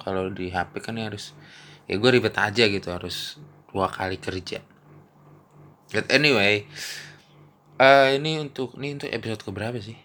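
A man's voice: lowish voice, fairly bright tone, slight background noise. A young man hosting a casual solo podcast, rambling and slangy, recording on a phone while nursing a mouth ulcer. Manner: slangy, rambling, laughs often. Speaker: podcast host.

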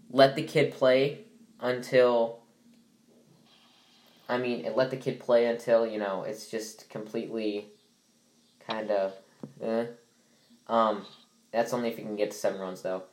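A man's voice: medium pitch, steady tone, a little slow, long pauses. A young man hosting a casual sports podcast, sounding stuffy from allergies and rambling with many fillers and restarts. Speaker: podcast host.

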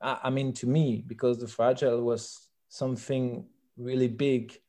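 A man's voice: medium pitch, flat delivery, moderate pace, warm tone. A man from France with a French accent answers interview questions conversationally, searching for his words and repeating himself. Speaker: interviewed band musician.

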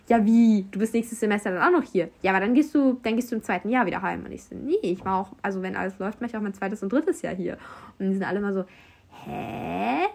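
Young woman from Germany, chatty storyteller, student, lively quoting of others, mid-pitched voice, casual and talkative. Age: young adult. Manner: chatty, animated quoting of others, frequent fillers. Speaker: university student abroad.

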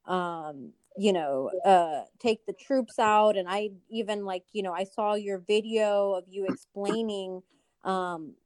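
A woman's voice: mid-range voice, slow pace, a little mellow. A woman hosting a casual interview, speaking in an informal, effusive way with lots of conversational filler. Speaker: interview host.

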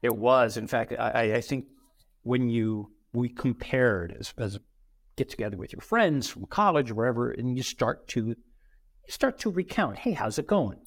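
Brief: male guest, 50s, animated anecdotal speaker, mid-pitch, dry studio mic. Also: normal speed, dry recording. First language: bilingual, Brazilian parents. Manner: animated, acts out quoted dialogue. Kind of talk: conversational interview storytelling.

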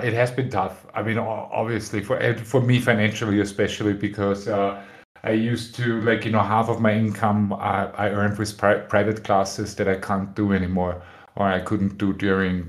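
A man's voice: fairly low pitch, flat delivery, normal speed. A young adult man from Austria, talking frankly as a guest on a podcast and often searching for his words. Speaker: guest musician. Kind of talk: casual podcast interview.

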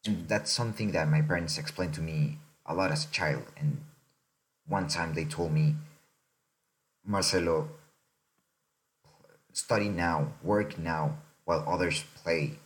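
A young man from Mexico, co-hosting a podcast in casual chat, reflective and unhurried, often ending thoughts with "right?". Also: sits low, poor audio. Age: young adult. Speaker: podcast co-host.

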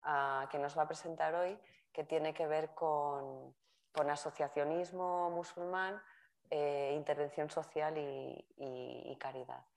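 Low-pitched woman host, casual and self-correcting, introducing a speaker. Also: steady tone, slow, vocal fry, a little mellow. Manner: chatty, self-correcting, laughs at own slips. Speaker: course organizer introducing the speaker. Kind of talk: informal spoken introduction. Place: university lecture room.

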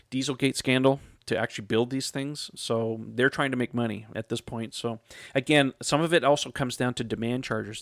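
A man's voice: mid-range voice, creaky, no room sound, clean studio sound. A man in his thirties hosting a casual podcast, reading listener questions in a chatty way with lots of ums and laughs. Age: 30s. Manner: chatty, laughs often, frequent fillers.

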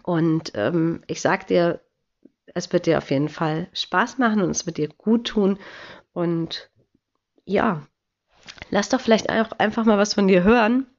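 A woman's voice: on the low side, unhurried, lo-fi sound. A woman in her thirties with a cold, hosting a podcast in a chatty, encouraging way with many filler sounds. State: has a cold. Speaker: podcast host. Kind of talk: casual podcast monologue.

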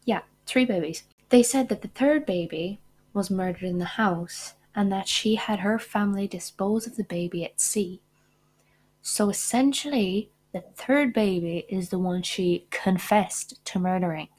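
A young woman hosts a true-crime podcast, reading her script at a middling pitch. Her voice has a husky, slightly breathy edge. She breaks off now and then with indignant remarks of her own. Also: unhurried, a little mellow. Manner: indignant asides between read facts. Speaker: podcast host.

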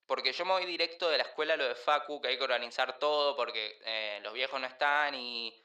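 An Argentine actor playing an awkward, evasive teenage son who rambles hesitantly in slang in an audio drama. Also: male voice, medium pitch, brisk, pushed and bright, close to an everyday voice. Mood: awkward, wheedling. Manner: hesitant, rambling, full of fillers. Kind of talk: naturalistic audio drama acting.

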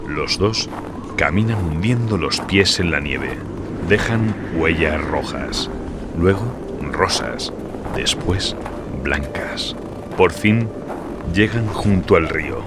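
A man's voice: sits low, background noise. A man narrating a horror audiobook in a dramatic, scripted storytelling style. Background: music.

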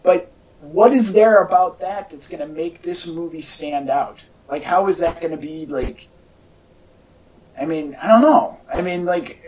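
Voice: mid-range voice, a little mellow. A podcast co-host chatting casually and giving opinions about movies, rambling and often restarting phrases. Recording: echoey, distant-sounding home podcast audio.

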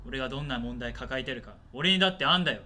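A man playing a cornered, resentful male commuter in a radio drama, talking in rough slang, whining and belligerent as he defends himself. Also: mid-range voice, rapid-fire, bright, forceful tone. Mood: bitter, desperate. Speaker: actor in an amateur radio drama. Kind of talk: naturalistic radio drama dialogue.

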